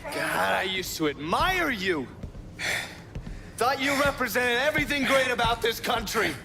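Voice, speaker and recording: A man's voice: very high-pitched, animated, a little slow, music in the background, exaggerated voice. A man playing a sardonic American government agent in a video game cutscene, speaking with profane, needling sarcasm and an American accent. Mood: accusing.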